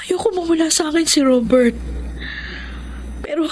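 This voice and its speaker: A woman's voice: sits high, normal speed, a little hiss or hum, natural voice. An actress playing a guilt-ridden young woman torn in love, in an emotional, melodramatic radio drama style. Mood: anguished. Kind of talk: Tagalog radio drama acting, confessional narration. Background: loud music.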